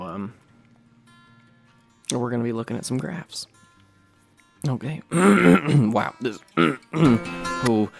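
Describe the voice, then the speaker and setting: A man reading a humor article aloud with hesitant, rambling asides and frequent throat-clearing, switching between recitation and casual commentary. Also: mid-range voice.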